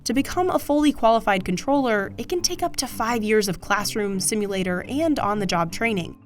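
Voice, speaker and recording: A woman's voice: medium pitch, expressive, a little fast, soft background music. An American woman reading scripted documentary narration as the narrator of a news feature.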